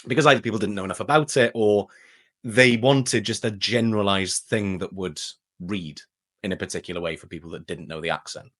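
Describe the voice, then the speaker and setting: A young man with a Welsh accent and a fairly low voice talks through an interview in a relaxed, conversational way. He gets animated as he goes, lifting his voice and mimicking other people's lines when he tells a story. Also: brisk. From Wales. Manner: animated, acts out quoted exchanges with a shifting voice. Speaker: voice actor, interview guest. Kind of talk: casual interview chat.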